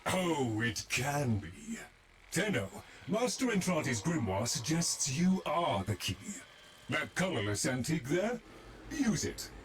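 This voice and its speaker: An actor playing an arch, theatrical familiar who serves an absent master in a video game, speaking in formal, scripted lines. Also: male voice, on the high side, sing-song, slow pace, a little hiss or hum. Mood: sly, urging. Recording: loud music behind the voice.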